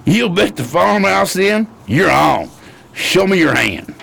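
Raspy, gravelly, gloating card sharp. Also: male voice, high voice, very animated, slow pace, exaggerated voice. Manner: taunting, slangy. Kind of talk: 1920s crime radio drama acting.

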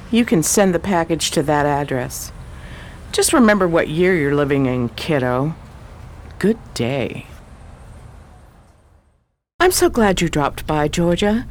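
A shrewd, wry lady sleuth from a 1920s radio crime play, acted by a woman. She is brisk and self-assured, with a slightly low voice and very animated intonation over faint noise. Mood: hospitable. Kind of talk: old-time radio drama acting.

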